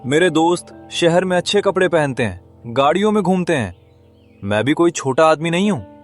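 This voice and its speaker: A man's voice: on the high side, expressive, moderate pace, soft background music, natural voice. A man voicing a proud, stubborn young farmer's son in a cartoon story, speaking curtly and insistently. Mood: restless, proud. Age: young adult. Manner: curt, insistent. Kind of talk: Hindi cartoon story dialogue.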